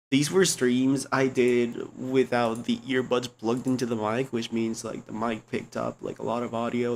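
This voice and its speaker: A young man streamer with a low speaking voice, talking in a rambling, slangy way full of restarts and fillers, close to a desk mic at home. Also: a little hiss or hum. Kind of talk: casual chat. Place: home computer room.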